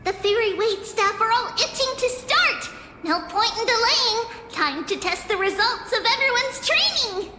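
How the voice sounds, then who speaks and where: A woman's voice: extremely high pitch, animated, a little slow, very noisy. An actress voicing a tiny, eager, childlike girl helper in a video game, peppy and impatient. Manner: peppy, exclaiming. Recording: echoey hall, loud music behind.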